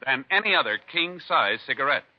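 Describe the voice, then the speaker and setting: A man's voice: medium pitch, animated, fairly quick, dry recording. An American man announcing on 1950s radio in a formal, declarative scripted style. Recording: muffled vintage radio broadcast, loud music.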